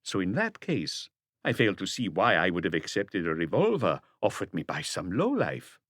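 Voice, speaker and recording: A man voicing a suave, guarded crook turned businessman in an audiobook, with slow, smoothly polite, deliberate speech. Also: sits low, wildly swooping pitch, a bit bright, no echo, clean studio sound, exaggerated voice. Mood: guarded, indignant. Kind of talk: audiobook character dialogue.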